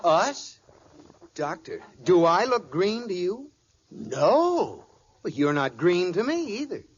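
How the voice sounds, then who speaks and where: An actor playing a placating, earnest husband in a 1940s radio sitcom, on an old recording with an audience. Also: male voice, high voice, sing-song, slow, husky, muffled recording, full character voice. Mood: soothing concern. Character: placating husband who plays tricks on his wife.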